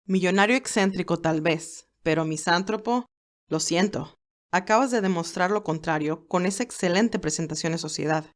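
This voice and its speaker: Woman reading as a low-pitched, formal business aide. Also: normal speed, lightly acted. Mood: teasing, advising. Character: George, loyal formal male business aide, concerned and probing. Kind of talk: audio story dialogue.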